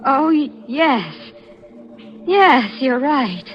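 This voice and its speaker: Hard radio thriller accomplice. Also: female voice, high voice, big pitch swings, drawn-out words, a little hiss or hum, heavily acted. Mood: shaken. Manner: terse, pushy. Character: hard, impatient female accomplice in a murder. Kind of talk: 1940s radio thriller acting. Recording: muffled vintage radio recording, faint music.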